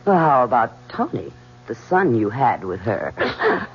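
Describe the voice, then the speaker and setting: A woman's voice: very deep voice, sing-song, unhurried, full character voice. A woman playing a coy, self-assured secretary and mistress in an old radio drama. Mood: uneasy. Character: beautiful, bold secretary and mistress of the boss. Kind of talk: 1970s radio drama acting. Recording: muffled, faintly noisy old radio broadcast.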